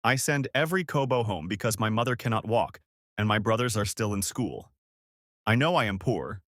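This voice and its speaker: A man voicing a weary, humble young housemaid who pleads earnestly and with quiet defiance in a told story. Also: on the low side, lively intonation, moderate pace, no echo, studio quality. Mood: earnest pleading.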